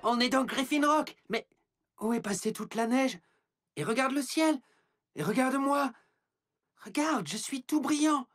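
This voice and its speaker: A woman voicing a timid, excitable young rescue robot in a French cartoon dub, nervous, wide-eyed and expressive. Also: high voice, a little slow, long pauses. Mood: amazed. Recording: loud music behind.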